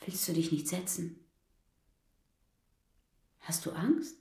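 Confident escort, low dark voice, roomy sound. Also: female voice, slow, vocal fry. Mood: seductive. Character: self-assured female escort, cool and seductive. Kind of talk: radio drama acting. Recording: roomy studio reverb.

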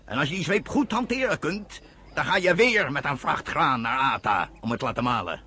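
Animated, commanding father. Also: a little high, fairly quick, husky, full character voice. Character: stern, proud farmer father, commanding but loving. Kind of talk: Dutch radio drama acting. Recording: noisy old radio play recording.

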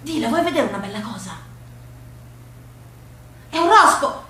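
Woman playing an eager, excitable teenage gardener boy, high-pitched. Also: unhurried, full character voice. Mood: eager, coaxing. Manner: insistent, excitable. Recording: echoey room with hiss.

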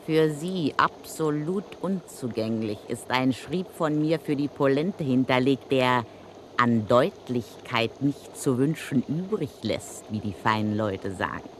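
Brazen, slangy gangster's widow. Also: female voice, rumbling low, unhurried, a little hiss or hum. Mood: brazen, threatening. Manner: slangy, mocking, self-assured. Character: brazen, street-wise gangster's widow, a sly blackmailer. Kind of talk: German crime radio play acting.

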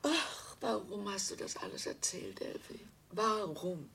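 An actress playing a timid, anguished middle-aged woman in a radio drama, pleading and plaintive in a breathy, husky voice. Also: mid-range voice, big pitch swings, a little slow, fairly bright tone, heavily acted. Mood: wounded. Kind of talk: German radio drama acting.

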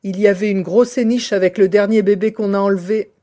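A woman's voice: mid-range voice, fairly even intonation, fairly quick, mellow, close to an everyday voice. A woman playing a cold, ruthless female villain plotting her escape in an audio drama. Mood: alarmed.

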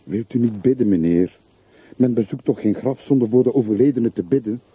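A man's voice: mid-range voice, brisk, breathy edge, dry recording. An actor playing a plain-spoken, deferential working man in a radio play, politely and earnestly insistent, on an old recording. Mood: gently insistent. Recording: noisy, muffled, phone-like old radio recording.

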